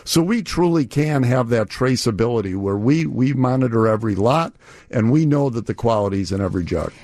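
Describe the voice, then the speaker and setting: A man's voice: mid-range voice, animated, brisk, a little mellow, no echo. A man speaking as a company guest in a promotional radio interview, explaining things step by step.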